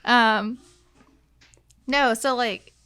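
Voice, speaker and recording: A woman's voice: a little high, steady tone, very slow, sharp tone, clean tone. A young woman chatting casually as a guest on a podcast. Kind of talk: casual chat.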